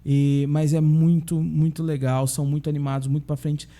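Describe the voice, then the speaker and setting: A man, a pastor with a Brazilian accent, telling stories in a relaxed conversation and often checking in with tag questions. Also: fairly high pitch, moderate pace. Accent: Brazilian Portuguese. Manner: chatty storyteller, frequent tag questions. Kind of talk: casual podcast conversation.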